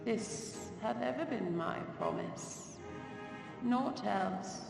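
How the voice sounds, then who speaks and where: An actress voicing a serene, seductive and haughty goddess in a video game, speaking in a grand, archaic, theatrical way. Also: female voice, medium pitch, animated, extremely slow, gravelly, heavily acted. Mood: serene, alluring. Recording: echoing hall reverb, distant, music behind.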